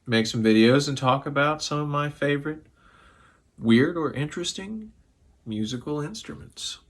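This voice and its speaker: Hoarse, tipsy man rambling at home. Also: fairly high pitch, lively intonation, normal speed. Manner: profane, loose and chatty, a bit tipsy. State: sick with a sore throat, slightly hoarse. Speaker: music vlogger. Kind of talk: casual rambling talk to camera. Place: living room at home.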